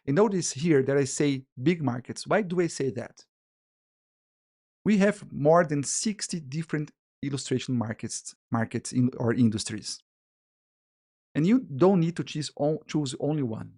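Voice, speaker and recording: A man with a Brazilian Portuguese accent, a native Portuguese speaker, teaching a live online masterclass. He talks in an animated way, restarts his sentences often and keeps checking in with "yeah?" and "guys". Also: fairly high pitch, a little slow, breathy edge. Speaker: illustration business teacher.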